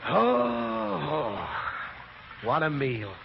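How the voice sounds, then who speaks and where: Casting: a groaning, fed-up middle-aged father, swooping creaky delivery, vintage radio sitcom. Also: a little high, big pitch swings, drawn-out words, over music, full character voice. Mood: weary. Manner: exasperated, groaning. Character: weary, exasperated middle-aged father of little girl. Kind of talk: 1940s radio comedy acting. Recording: noisy, muffled 1940s radio broadcast.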